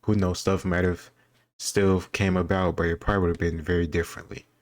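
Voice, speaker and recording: A deep-voiced man talking casually and thinking out loud as he goes. His delivery stays very even and he hedges his points as he rambles. The recording is close and dry. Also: a little fast, dry recording. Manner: rambling, musing, self-qualifying. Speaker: host reacting to quiz questions. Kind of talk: casual commentary on history trivia.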